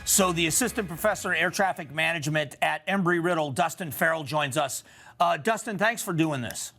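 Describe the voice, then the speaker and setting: A man's voice: on the high side, fairly quick, vocal fry, bright, forceful tone, faint music behind. An American man hosting a television news interview, putting questions to a guest in broadcast style.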